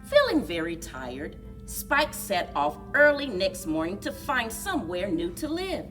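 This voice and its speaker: A woman reading a story aloud to young children at a library story time, in an expressive, deliberate manner. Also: medium pitch, distant mic, faint music behind. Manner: expressive, deliberate, sing-along encouragement.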